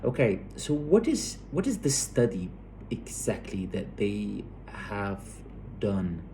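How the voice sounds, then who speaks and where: Slow, halting male lecturer, slightly dark voice. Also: lowish voice, sing-song, slight background noise, rough recording. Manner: halting, repeats words, checks understanding with "okay". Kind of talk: explanatory academic lecture.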